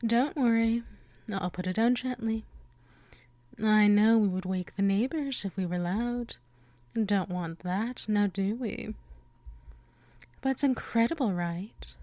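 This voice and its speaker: Woman performing female vampire for ASMR roleplay: unhurried, dry close sound, coy and politely possessive. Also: medium pitch, a little mellow, no echo, natural voice. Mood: playful, showing off. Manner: coaxing, chatty, teasingly polite. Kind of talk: ASMR roleplay, addressing the listener.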